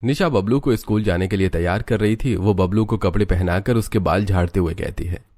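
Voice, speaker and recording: A man's voice: low-pitched, brisk, mellow, no echo. A man narrating a scripted cartoon story as its storyteller.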